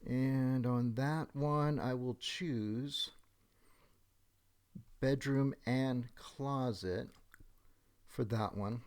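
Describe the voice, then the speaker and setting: A middle-aged man narrating a software demonstration step by step, methodically thinking aloud as he goes. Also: mid-range voice, drawn-out words, pauses often, clean tone.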